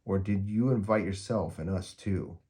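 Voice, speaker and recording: A man voicing a sensible, tactful young girl, gently reasoning, in a storybook reading. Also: low-pitched, moderate pace, breathy edge. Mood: mild reproach.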